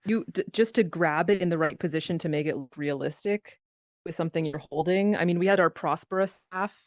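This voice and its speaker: A woman's voice: on the low side, brisk, no echo. A woman speaking informally as a panelist, telling stories animatedly in a casual discussion over online voice chat. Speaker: panelist, VR theatre performer and show host. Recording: dull lo-fi voice chat audio.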